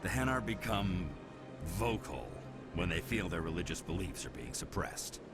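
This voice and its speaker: A man's voice: sits low, big pitch swings, normal speed, loud music behind the voice. A man playing a stern, officious alien security officer in a video game, formal and by the book. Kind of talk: game voice acting.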